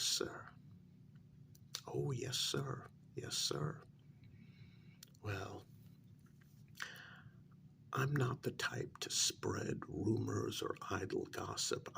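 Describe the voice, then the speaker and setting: A man playing an evasive, deferential worker in a soft ASMR role-play, speaking hesitantly and politely in a breathy half-whisper. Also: medium pitch, full character voice. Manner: hesitant, polite, gossipy while feigning reluctance. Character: evasive, deferential male worker smoothing things over with his boss.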